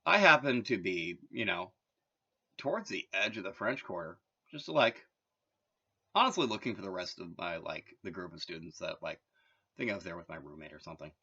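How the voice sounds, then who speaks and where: A man's voice: low voice, expressive, normal speed, gravelly, sharp tone, husky, muffled recording. A man from Massachusetts talking in a rambling, casual monologue, full of filler words and wry asides. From Massachusetts, USA. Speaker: vlogger.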